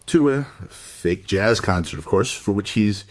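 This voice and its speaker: Smug low-voiced crooked dealer. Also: male voice, expressive, a little slow, dry recording. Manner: suave, self-assured explaining. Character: smug shady antique violin dealer, evasive smuggler. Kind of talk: radio play dialogue.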